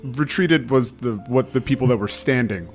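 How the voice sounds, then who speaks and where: A man's voice: medium pitch, moderate pace, soft background music. A man chatting casually as a podcast panelist, with a dry, wry manner. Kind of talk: casual chat.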